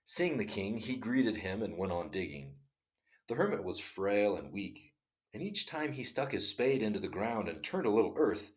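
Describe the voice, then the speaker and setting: A man reading a classic short story aloud in an expressive, dramatic storytelling style. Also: lowish voice. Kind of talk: read-aloud story narration.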